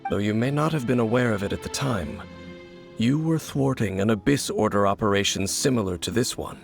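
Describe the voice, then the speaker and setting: A grave, low-voiced mysterious swordsman. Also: gravelly, soft background music. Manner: measured, solemn, terse, quietly cryptic. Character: mysterious, guarded wandering swordsman, grave and composed. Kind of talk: video game voice acting.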